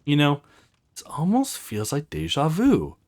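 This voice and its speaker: Kind young witch, reassuring and soft-spoken, slow pace with expressive pitch, game character, read by a woman. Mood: gentle.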